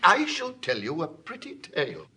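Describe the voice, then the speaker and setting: An actor playing a genial, wily Roman patrician in a Shakespearean drama, with a husky voice and a teasing, theatrical delivery. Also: male voice, fairly high pitch, huge pitch swings, moderate pace, pushed and bright, heavily acted. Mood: sly storytelling. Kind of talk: classical Shakespearean stage acting.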